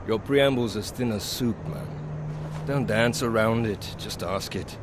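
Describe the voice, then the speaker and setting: An actor playing a weary, middle-aged male pilot in a video game, sardonic and dry, dropping low at the ends of lines. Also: expressive, a little slow, noisy background. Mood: bitter. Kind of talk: video game cutscene dialogue.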